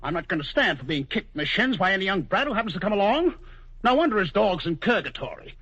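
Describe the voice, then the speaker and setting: An actor playing a fussy, officious clerk in 1940s radio drama, pompous and easily flustered. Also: male voice, high voice, big pitch swings, fast talker, a bit bright, breathy edge, full character voice. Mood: outraged, flustered. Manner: prissy, pompous, stammering. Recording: dull old radio recording.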